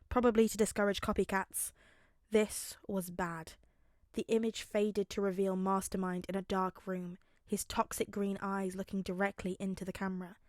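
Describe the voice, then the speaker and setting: A woman's voice: medium pitch, no echo, studio quality. A woman narrating a scripted story as a podfic reader.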